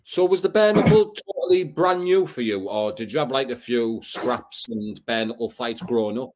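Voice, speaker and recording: A man in his thirties asks questions in a friendly, easygoing way during a video call interview. His voice sits at an ordinary middle pitch. He speaks into a webcam from an indoor room. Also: animated, moderate pace, a little mellow. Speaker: interviewer host.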